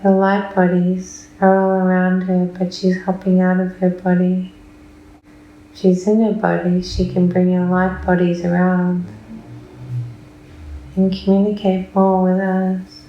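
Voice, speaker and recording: A woman speaks in an extremely monotone, slow voice with a dark, relaxed tone in an echoey room. She sounds like a client under hypnosis, answering in detached, deliberate short statements. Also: lowish voice, lo-fi sound. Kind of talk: trance-like answers in a hypnosis session.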